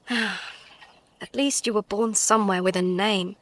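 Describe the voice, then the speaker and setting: An actress playing a surly, bored teenage daughter, petulant and scornful, in a radio comedy drama. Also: female voice, mid-range voice, steady tone, slow pace, a bit bright, no echo. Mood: bitter.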